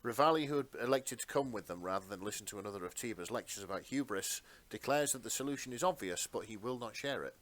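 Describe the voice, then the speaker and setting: A man narrating fan fiction in a dramatic storybook style with theatrical, deliberate phrasing. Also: on the low side, lively intonation, fairly bright tone.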